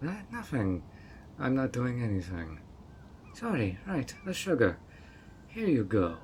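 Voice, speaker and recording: A man's voice: medium pitch, huge pitch swings, a little slow, darkish tone, a little hiss or hum. A man voicing a sweet but obsessive male fairy who coaxes a guest in an audio roleplay, with a honeyed, playfully sly manner. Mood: sweetly scheming. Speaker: fairy host of a tea party.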